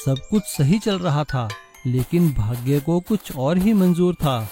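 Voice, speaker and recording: Casting: male narrator for scripted moral stories, relaxed mellow tone, faint music bed. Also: a little high, unhurried.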